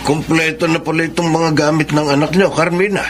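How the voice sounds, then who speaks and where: A man playing a fussy, gruff but fond elderly father in a radio drama. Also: a little high, steady tone, fairly quick, music in the background, lightly acted. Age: older.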